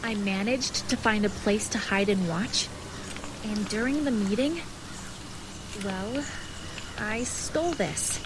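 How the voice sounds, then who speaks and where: A woman's voice: mid-range voice, slow pace, long pauses, noisy background, natural voice. A young woman acting a determined, secretive video game character, speaking with a purposeful, reassuring and lightly teasing manner. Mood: earnest. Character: determined, secretive young woman guiding her newfound brother.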